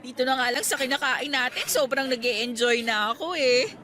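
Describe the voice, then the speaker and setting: An actress playing a candid, cautious young schoolteacher being courted in a radio drama, chatting in a Filipino accent and mixing in English. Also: female voice, high-pitched, moderate pace, bright, forceful tone. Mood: pleased, teasing. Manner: conversational, mixing Tagalog and English.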